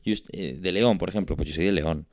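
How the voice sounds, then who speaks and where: A man's voice: deep voice, fast, creaky, dry recording, studio quality. A man with a Castilian Spanish accent hosting a podcast discussion, explaining things conversationally and often checking in with tag questions. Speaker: podcast host.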